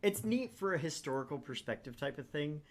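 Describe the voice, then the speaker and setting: A man's voice: a little high, very animated, a little fast. A man from Texas hosting a gaming livestream with casual, joking banter, sometimes stumbling over words. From Texas, United States. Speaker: gaming channel host.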